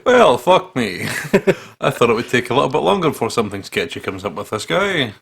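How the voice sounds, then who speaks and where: A man's voice: mid-range voice, big pitch swings, a little fast, vocal fry, a bit bright, slightly rough. A Scottish man co-hosting a game playthrough, reading text aloud and bantering with irreverent swearing. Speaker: gaming channel co-host.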